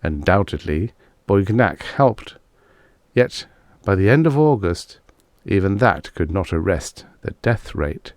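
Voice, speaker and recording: A man with a low voice narrates a vintage story as an audiobook. His delivery is measured and formal. The recording is close, with no room sound at all. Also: sing-song, darkish tone, no echo. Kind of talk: scripted narration of vintage science fiction stories.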